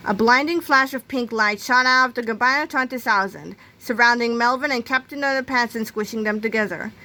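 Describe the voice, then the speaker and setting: A woman reading a children's book aloud in an expressive storytelling style. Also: a little high. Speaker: storybook reader.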